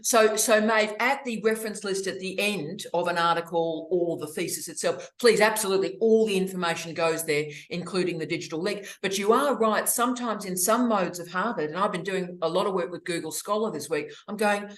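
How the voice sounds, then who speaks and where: A woman's voice: medium pitch, fairly quick, few pauses. A woman hosting online academic office hours, witty and opinionated, with theatrical asides, over a video call. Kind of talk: lively, opinionated casual chat. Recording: roomy echo on a video call.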